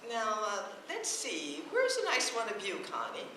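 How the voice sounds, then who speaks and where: A woman's voice: medium pitch, wildly swooping pitch, moderate pace, sharp tone. An actress playing a scatterbrained, kindly older landlady in a vintage radio comedy, chatty and dithering as she rambles off track. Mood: helpful, fussy. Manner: dithering, rambling, easily sidetracked. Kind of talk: vintage radio comedy acting before a laughing audience. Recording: echoey old radio stage recording, faint noise.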